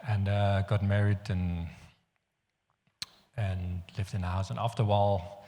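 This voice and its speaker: Deep-voiced Dutch man preaching in a hall. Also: level tone, warm tone. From Netherlands. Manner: earnest, halting with restarts. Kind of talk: sermon. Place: church hall. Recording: long hall reverb.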